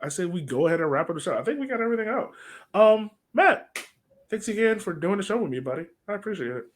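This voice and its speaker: A man's voice: high-pitched, big pitch swings, quick pace. A man chatting casually as the host of a sports podcast.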